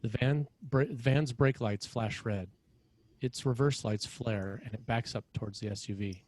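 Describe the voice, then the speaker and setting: A man's voice: medium pitch, unhurried, a little mellow, no room sound. A man reads scripted scene directions as the narrator of a screenplay table read.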